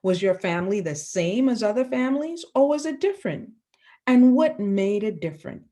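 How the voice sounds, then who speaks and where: A woman teacher from the Bahamas giving a lesson to children, asking the class questions and pausing for answers. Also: mid-range voice, animated, dark tone.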